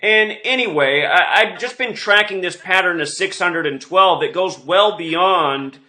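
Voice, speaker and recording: Guy rambling on like an online host, kinda high voice, really bright and emphatic. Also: unhurried. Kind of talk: rambling conspiracy monologue.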